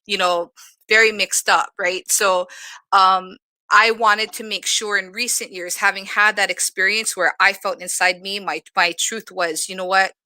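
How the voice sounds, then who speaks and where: Bright-voiced woman moderating a panel, dry sound. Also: medium pitch, fairly even intonation, measured pace, no room sound. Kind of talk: reflective panel discussion.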